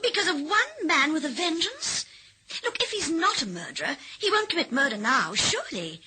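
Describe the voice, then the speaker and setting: High, anxious pleading wife. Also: female voice, sing-song, moderate pace, pushed and bright, full character voice, poor audio. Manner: urgent, questioning. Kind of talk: radio drama acting.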